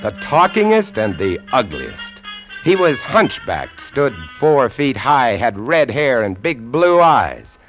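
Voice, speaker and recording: A man's voice: medium pitch, sing-song, slow pace, fairly bright tone, soft background music. An actor playing a lighthouse keeper who tells his sea tale vividly and dramatically, in old radio drama style. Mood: wry, reminiscing. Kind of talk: golden-age radio drama narration. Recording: old radio recording with musical underscore.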